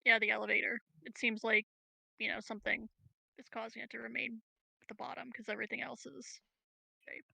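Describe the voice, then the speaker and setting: A woman's voice: a little high, flat delivery, fairly quick, creaky, pauses often, sharp tone, no echo, low-quality recording. A woman playing in a tabletop roleplaying game, talking casually and upbeat. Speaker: player.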